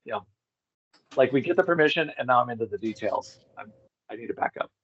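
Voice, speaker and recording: A man speaking as an interview guest, in a casual, enthusiastic discussion with a rambling, digressive, excitable manner. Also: medium pitch, fast, slightly rough, lo-fi sound. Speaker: interview guest, amateur megalith researcher.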